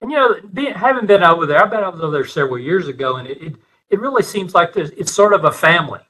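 A man's voice: high-pitched, expressive, fast talker, bright, forceful tone, breathy edge, rough recording. A man with an East Texas accent, guest-hosting a casual podcast interview over a video call and often restarting sentences as he talks. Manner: friendly, repeats words when starting sentences.

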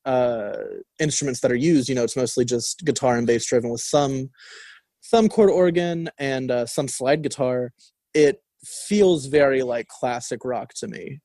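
A man's voice: medium pitch, dark tone, dry recording. Guy chatting about music, rambly with lots of ums, dry close sound. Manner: rambling, many fillers and restarts, laughs easily. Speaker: album review co-host. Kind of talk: casual music discussion.

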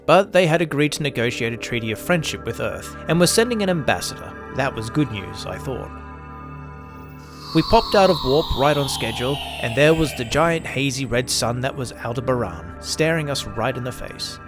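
A man works as host and narrator, reading scripted first-person fiction. His pitch is average for a man, and faint music plays underneath.

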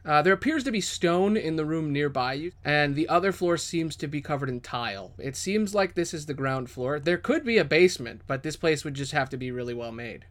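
A man's voice: fairly high pitch, lively intonation, brisk, few pauses, fairly bright tone. A man improvising descriptive, dramatic second-person narration as the game master of a tabletop fantasy game.

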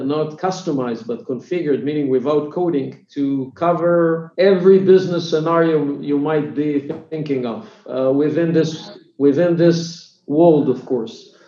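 Israeli man, 50s, tech CEO guest, gravelly and halting, echoey muffled line. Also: fairly high pitch, dark tone. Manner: hesitant, many fillers and restarts. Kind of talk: business podcast interview. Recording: echoey, dull remote audio.

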